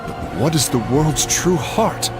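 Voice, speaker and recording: Resolute, animated crusader knight. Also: male voice, on the high side, slow pace. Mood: questioning, insistent. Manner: commanding, earnest. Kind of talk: dramatic video game cutscene acting. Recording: dramatic music behind the voice.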